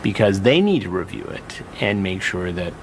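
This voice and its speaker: A man explaining a police procedure in a measured way, with some hesitations, in a clip played on stream. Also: sits low, very animated, slight background noise, rough recording. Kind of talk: documentary narration interview.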